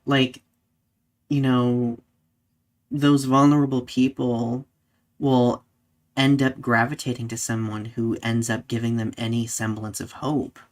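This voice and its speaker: A man with a mid-pitched voice hosts a live discussion podcast. He talks casually and conversationally, sprinkling in "like" and tagging points with "right?" as he goes. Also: level tone, a little slow. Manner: conversational, peppers talk with "like" and "right?". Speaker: podcast host.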